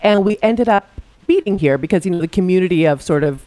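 A woman's voice: on the low side, animated, quick pace, warm tone, dry recording. A middle-aged woman with a Canadian accent, a podcast guest chatting casually and joking over an internet call. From Ottawa, Canada. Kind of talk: casual chat.

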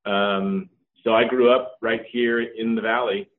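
A middle-aged man, a company co-founder, talking casually in an interview with a relaxed manner and many ums and restarts. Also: lowish voice, a little slow. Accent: Californian. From Norway. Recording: tinny phone-like line.

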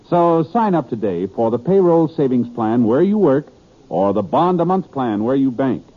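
Male American announcer for vintage 1950s radio, showy sales delivery with big melodic swings, slightly muffled broadcast sound. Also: mid-range voice. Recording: dull, lo-fi old radio broadcast.

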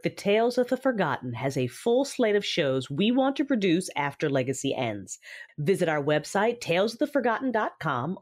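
A woman announcing a show in an upbeat, sing-song scripted read. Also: sits low. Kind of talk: scripted promo and intro read.

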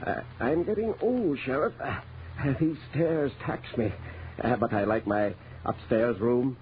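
A man's voice: mid-range voice, unhurried, natural voice. An actor playing a genial, hospitable country squire in his sixties, with hesitant, sighing delivery, in a 1930s radio drama. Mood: genial, weary. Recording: muffled, noisy 1930s radio recording.

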